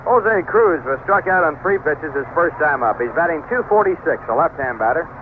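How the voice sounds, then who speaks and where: A man's voice: a little high, expressive, normal speed. A man with an American accent calling baseball play-by-play in the style of 1970s radio. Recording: noisy, tinny old AM radio broadcast.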